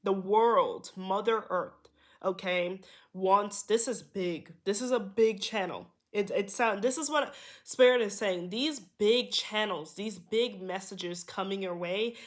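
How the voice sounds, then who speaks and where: Nasal woman giving a spiritual reading. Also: medium pitch. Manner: reassuring, repeats "okay?" and "here". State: under the weather. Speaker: tarot reader. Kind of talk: spiritual card reading to viewers.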